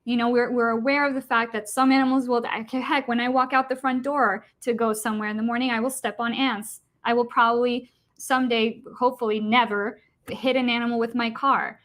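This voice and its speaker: A woman from Costa Rica speaks in a fairly high voice as a panelist in an online debate. She explains her points earnestly, with frequent fillers and restarts along the way. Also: level tone, fairly quick, hardly any pauses, fairly bright tone. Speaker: vegan debater.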